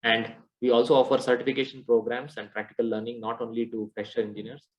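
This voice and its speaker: A man's voice: mid-range voice, little pitch movement, fast talker, lo-fi sound. A man with an Indian English accent giving an interactive lesson as a technology trainer, explaining step by step and often checking in with the listeners. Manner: explanatory, checks in with "right?" and "okay". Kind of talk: interactive classroom lecture.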